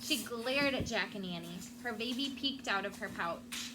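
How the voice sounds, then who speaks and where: A woman's voice: on the high side, lively intonation, moderate pace. A woman teacher reading a children's book aloud and prompting pupils with questions. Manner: prompting, checks understanding with questions. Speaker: elementary school teacher. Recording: clearly noisy room sound.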